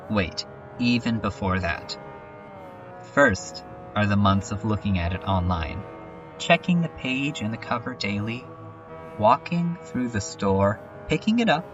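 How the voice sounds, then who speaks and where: A man playing a brooding, haunted adult narrator in an introspective dramatic monologue, reflective and halting. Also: lowish voice. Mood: nostalgic, absorbed. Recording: dull lo-fi recording, distant mic. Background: music.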